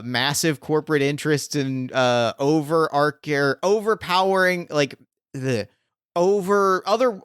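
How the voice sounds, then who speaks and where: A man's voice: medium pitch, fairly bright tone, clean tone, no room sound, studio quality. A man hosting a podcast as game master, talking casually and often restarting as he searches for words. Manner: rambling, gropes for words with many restarts.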